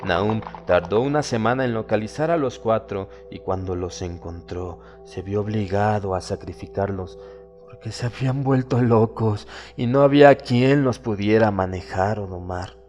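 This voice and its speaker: A man narrating a horror audiobook in a solemn, measured, dramatic storytelling manner. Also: fairly low pitch. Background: background music.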